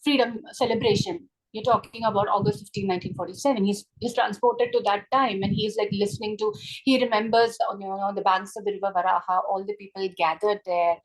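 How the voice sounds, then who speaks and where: A woman reviewing a short story in a webinar, speaking over a video call in a chatty, animated way, often adding "right?" and "you know". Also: medium pitch, a little fast, hardly any pauses, rough recording. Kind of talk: webinar book discussion.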